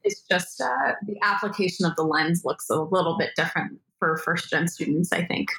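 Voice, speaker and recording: Bright-voiced woman in an echoey room. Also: fairly low pitch, animated, normal speed, vocal fry. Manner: thoughtful, hesitant, many fillers. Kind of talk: casual conversation.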